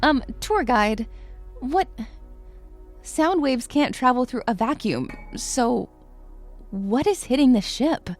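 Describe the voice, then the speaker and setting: A woman playing an earnest astronomy professor lecturing her students in an audio drama, explaining with small hesitant pauses. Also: high-pitched, animated, faint music behind. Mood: puzzled.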